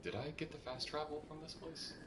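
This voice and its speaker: A man's voice: a little high, a little fast, background noise. A man casually commentating live gameplay as a streamer, playful and thinking aloud. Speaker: game streamer. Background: video game music.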